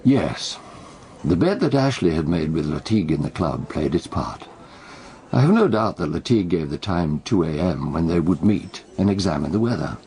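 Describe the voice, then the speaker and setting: A shrewd armchair detective in a radio play. His voice is very deep and gravelly, yet lively in inflection. He explains crimes in a dry, knowing, measured way. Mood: knowing, explanatory. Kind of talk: radio drama acting.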